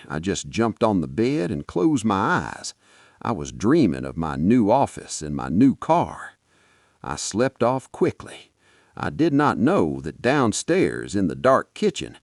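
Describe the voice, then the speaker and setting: Nigerian man, podcast storyteller, dramatic first-person narration, deep voice, very dry close sound. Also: wildly swooping pitch, measured pace, gravelly, no echo.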